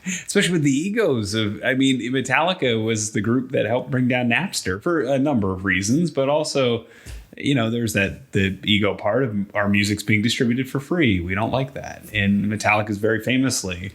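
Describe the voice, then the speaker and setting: A man's voice: medium pitch, expressive, normal speed. A man co-hosting a podcast, chatting casually about pro wrestling and promoting events. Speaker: podcast co-host.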